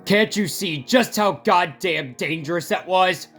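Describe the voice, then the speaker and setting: A woman's voice: lowish voice, a little slow, a bit bright. A woman voicing a bitter, guilt-ridden, foul-mouthed male war veteran in a game fan dub, intense and scolding. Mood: stern anger. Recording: music behind the voice.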